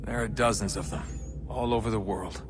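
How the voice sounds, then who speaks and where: Guy acting as easygoing young video game hero, plain talker, big echoey hall, clear music underneath. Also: on the low side, fairly even intonation, brisk, natural voice. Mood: calm, questioning. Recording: long hall reverb, music behind.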